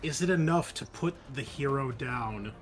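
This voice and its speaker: A man's voice: medium pitch, animated, moderate pace, faint music behind. A man narrating a tabletop horror game as game master, casually mixing vivid description with rules talk and some swearing.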